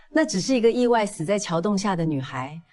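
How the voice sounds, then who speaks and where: A woman's voice: medium pitch, a little mellow. Vengeful young female criminal played by a woman, audio drama; cold, contemptuous delivery. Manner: contemptuous, coolly taunting. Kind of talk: dramatized audio fiction acting.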